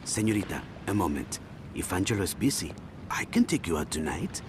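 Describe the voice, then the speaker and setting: An actor playing a cold, menacing cartel gang boss who speaks in a quiet, controlled, intimidating way in video game cutscenes. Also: male voice, low-pitched, very animated, a little fast, noisy background.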